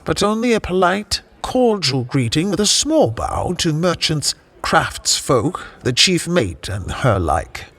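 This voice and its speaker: An actor playing a brisk, tactful director of a traveling theater troupe in an audio comedy, polite and instructive. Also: male voice, a little high, big pitch swings, fairly bright tone, heavily acted. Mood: formally instructive. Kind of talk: audio drama comedy acting.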